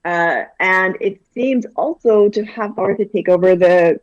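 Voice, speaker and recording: A woman's voice: mid-range voice, a little slow, no echo, dull audio. A woman from Guyana, a children's author, chatting animatedly in an interview with lots of fillers and restarts. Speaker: children's book author, guest. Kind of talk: casual interview chat.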